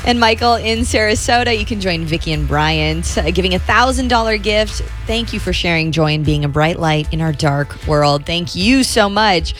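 A woman's voice: fairly low pitch, moderate pace, few pauses, fairly bright tone, faint music behind. A woman co-hosting a radio fundraiser, reading out listener stories and thanking givers. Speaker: radio host.

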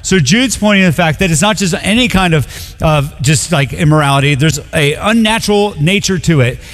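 Young guy from colombia preaching, kinda highish voice, chatty and loose, big echoey hall. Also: lively intonation, brisk, a bit bright. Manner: casual, conversational preaching with frequent "like" and restarts. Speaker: pastor. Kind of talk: sermon. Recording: long reverb of a large hall.

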